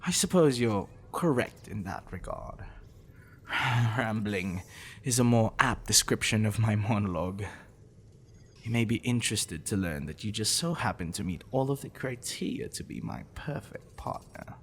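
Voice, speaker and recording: A man playing a possessive, smitten professor in an intimate ASMR roleplay, wordy and clinical, with teasing, musing pauses. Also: fairly low pitch, expressive, a little hiss or hum, natural voice. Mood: pedantic, musing.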